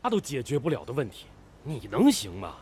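An actor playing a blunt, cautious and excitable steel-mill colleague in a Chinese radio drama. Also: male voice, medium pitch, fairly quick, a little hiss or hum. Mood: doubtful, challenging.

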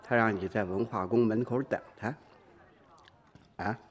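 An actor playing a shrewd, knowing scrapyard boss in a radio drama, humming between remarks. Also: male voice, lowish voice, fairly even intonation, a little slow, highs cut off, natural voice. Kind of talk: Chinese radio drama acting.

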